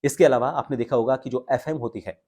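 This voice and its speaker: A man giving an instructional talk to viewers as a voice coach, explaining with pauses and stress on key words. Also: medium pitch, warm tone, breathy edge. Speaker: voice coach and video presenter.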